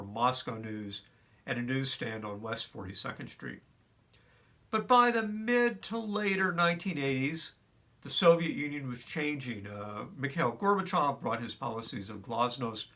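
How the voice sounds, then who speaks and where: Older man, bright voice, off-mic. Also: mid-range voice, recorded from a distance. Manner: reflective, conversational, many fillers and restarts. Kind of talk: personal storytelling.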